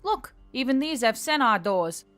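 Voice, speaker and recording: A woman's voice: fairly high pitch, sing-song, normal speed, fairly bright tone, soft background music. A woman voicing a brash, protective female centaur with a blunt, wry and casual way of talking in a fantasy story. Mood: easygoing.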